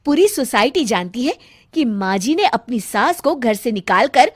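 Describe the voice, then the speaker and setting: A woman's voice: on the high side, very animated, a little slow, slightly rough, no echo, studio quality, heavily acted. A woman voicing a crafty, confident elder daughter-in-law in a family comedy cartoon, coaxing and self-assured. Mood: scheming.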